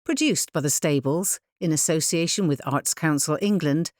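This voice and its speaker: An audio describer reading scripted credits in a measured, plainly announcing manner. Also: medium pitch, dry recording, clean studio sound.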